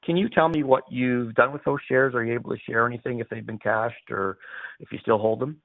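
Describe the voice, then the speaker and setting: A man's voice: medium pitch, fairly even intonation, a little fast, gravelly, dry recording. A man calling in as an investor to ask a question on an earnings call. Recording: dry, phone-like call line.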